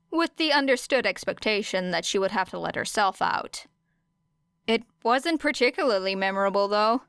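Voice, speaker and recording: A woman's voice: medium pitch, a bit bright, clean tone, dry recording, clean studio sound. A woman reading scripted fiction as an audiobook narrator.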